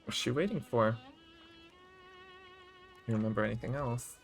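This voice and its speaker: A man with a medium-pitched voice streams a game over its soft music. He reacts casually, thinking out loud in informal, halting asides. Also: creaky.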